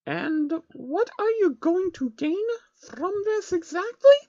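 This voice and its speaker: A man voicing a timid young female unicorn in a squeaky, hesitant, stammering falsetto. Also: expressive, slow pace, darkish tone, no echo, highs cut off, heavily acted. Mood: sheepish, cautious.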